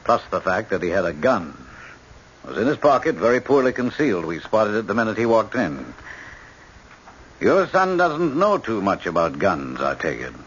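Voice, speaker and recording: An American actor playing an obliging prison warden with firm authority, measured and courteous in a radio drama. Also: male voice, fairly low pitch, very animated, fairly bright tone, slightly rough, exaggerated voice. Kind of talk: 1970s radio drama acting. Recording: dull, band-limited old radio broadcast.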